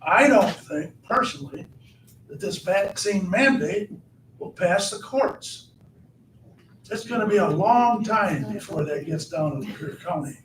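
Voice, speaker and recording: A hoarse man chairing a county board meeting, repeating himself and asking the room questions. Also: high voice, animated, unhurried. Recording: echoey hall, far from the mic, background noise.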